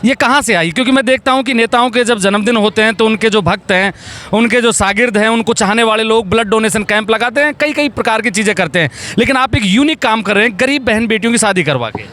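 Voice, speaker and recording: A man's voice: high voice, fast talker, pushed and bright. A man working as a reporter, putting questions in a field interview.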